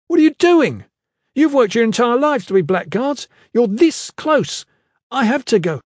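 A man's voice: sits high, very animated, moderate pace, breathy edge, no room sound, studio quality, voice pushed up, exaggerated voice. A man voicing an anxious, earnest young military trainee in an audiobook story, urgent and questioning. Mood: distraught.

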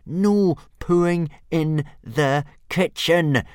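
A man's voice: low-pitched, a little fast, darkish tone, slightly rough, no room sound, studio quality. A man voicing a weary, patient Viking boy at the end of his tether. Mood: exasperated. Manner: exasperated.

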